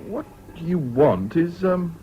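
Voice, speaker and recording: An actor in a British radio drama playing a practical, sympathetic friend, an Englishman with a measured, matter-of-fact manner. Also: fairly high pitch, lively intonation. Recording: dull, hissy old radio recording.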